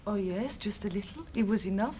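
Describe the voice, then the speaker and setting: A woman plays a demure young witness in a TV mystery game, giving soft, coy, short answers. Her voice is light and unhurried, with faint noise behind it. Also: mid-range voice, expressive, close to an everyday voice. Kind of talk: in-character questioning on a game show.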